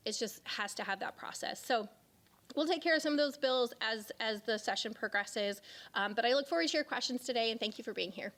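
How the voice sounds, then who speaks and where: A woman's voice: fairly high pitch, a little fast, a bit bright. A woman state representative, speaking casually at a public forum with frequent fillers and restarts. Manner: chatty, self-deprecating, many fillers and restarts.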